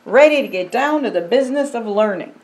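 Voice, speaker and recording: An older woman, a pastor, reading a children's picture book aloud in a deliberate, expressive storytelling manner. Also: fairly high pitch. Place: indoors by a fireplace.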